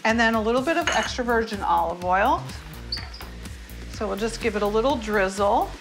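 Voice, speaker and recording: A woman's voice: fairly high pitch, animated, moderate pace. Woman hosting a cooking demo over music. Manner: explanatory, friendly instruction. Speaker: cooking show host. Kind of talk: cooking demonstration. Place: home kitchen. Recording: music bed underneath.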